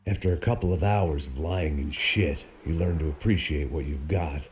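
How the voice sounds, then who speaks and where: An actor playing a weary, cynical middle-aged ex-cop, narrating in a gravelly noir voice-over for a video game. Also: male voice, extremely low pitch, normal speed, a little hiss or hum. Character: weary hard-bitten older male ex-cop. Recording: game audio with loud music.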